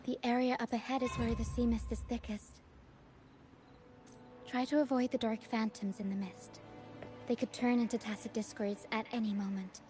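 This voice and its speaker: A woman's voice: on the high side, little pitch movement, normal speed, warm tone, natural voice. An actress playing a spirited, theatrical young female troupe fighter in a video game, eager to show off and guide. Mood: earnest, protective. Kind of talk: video game dialogue acting. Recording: game music behind the voice.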